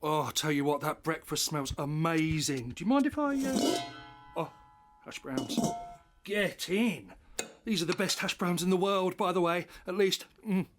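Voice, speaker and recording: A man's voice: on the high side, expressive, a little slow, over music. A man playing a breezy, teasing, over-familiar stranger with a big appetite in a comic audio drama. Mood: breezy, greedy. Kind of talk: comedic audio drama acting.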